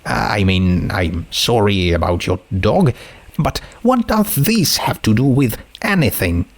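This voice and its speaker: Man playing a gentle, concerned teenage girl. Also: on the low side, expressive, slow, exaggerated voice. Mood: puzzled. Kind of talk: dramatic fan fiction reading.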